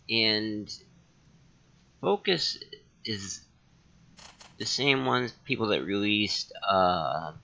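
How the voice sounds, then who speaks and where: Low, gravelly man doing game commentary. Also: pushed and bright, lo-fi sound. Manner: musing, thinking aloud. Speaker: gaming channel host. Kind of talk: casual let's play commentary.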